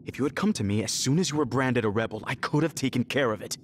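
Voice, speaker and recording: An actor voicing a stern, fanatical elder brother in a video game, commanding and condescending in dramatic delivery. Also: male voice, medium pitch, fast talker, a little hiss or hum, lightly acted. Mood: cold, irritated. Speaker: villain. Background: dramatic background music.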